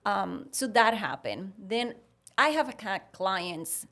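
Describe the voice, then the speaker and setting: A woman's voice: mid-range voice, takes it slowly. Puerto Rican woman telling stories conversationally. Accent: Puerto Rican Spanish. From Puerto Rico. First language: Spanish. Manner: animated retelling with acted-out dialogue. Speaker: podcast guest, veterinarian. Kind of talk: conversational storytelling.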